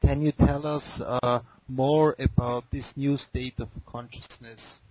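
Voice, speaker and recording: Male questioner, unhurried slow speech, average pitch, conversational, muffled dry conference-call sound. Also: steady tone, no room sound. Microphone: conference call line. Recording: dull, low-fidelity conference call.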